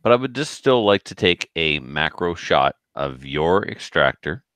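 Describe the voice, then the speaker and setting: A man's voice: sits low, sing-song, measured pace, fairly bright tone, no echo. A man chatting casually as a podcast co-host. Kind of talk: casual chat.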